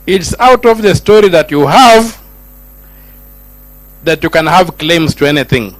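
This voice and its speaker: Kenyan man, high-pitched speech. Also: expressive, a little slow, dry recording, rough recording. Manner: switches between Swahili and English, rising emphatic lines. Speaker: senator giving a speech. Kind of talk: public speech at a gathering.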